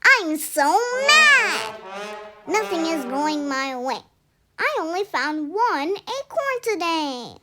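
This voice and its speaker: Woman acting as a storybook squirrel, mad, whiny, very high, slow drawn-out complaints, music behind. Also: big pitch swings, exaggerated voice. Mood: mad, upset. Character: mad, whiny squirrel upset about one acorn.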